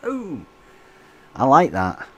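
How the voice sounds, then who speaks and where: A man's voice: mid-range voice, huge pitch swings, slow, crowd noise. A man co-hosting a livestream, chatting casually and chuckling as he reads out viewer comments. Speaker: livestream co-host.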